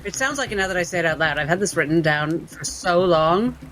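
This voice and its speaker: A woman's voice: fairly low pitch, animated, fairly quick, fairly bright tone, a little hiss or hum. A woman playing a tabletop game, chatting in a casual, playful, bantering style.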